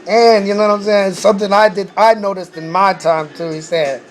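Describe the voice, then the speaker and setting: A man's voice: high-pitched, steady tone, a little fast, soft background music, low-quality recording. A man who says he is losing his voice, recapping a rap battle in a casual, profane way and often saying "you know what I'm saying".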